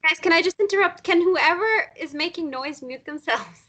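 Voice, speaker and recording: A woman's voice: very high-pitched, moderate pace, a bit bright, muffled recording, a little hiss or hum. A woman speaking unscripted to the group at a table read.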